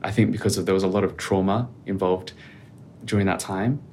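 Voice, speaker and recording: Chill guy, really low voice, calm and thoughtful, slight rumble behind. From Australia. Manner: calm, reflective and measured. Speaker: podcast guest. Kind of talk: casual interview conversation.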